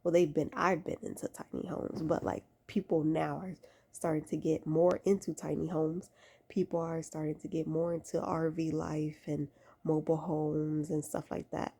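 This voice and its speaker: Low, husky, gravelly young woman. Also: flat delivery, moderate pace, warm tone. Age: late 20s. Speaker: vlogger giving faith-based lifestyle advice. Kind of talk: casual talk to camera, exhorting.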